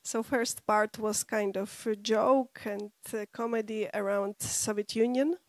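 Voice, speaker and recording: A woman interpreting a panelist's words into English at a roundtable, brisk and practical. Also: medium pitch, fairly even intonation, no room sound. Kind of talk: live consecutive interpreting.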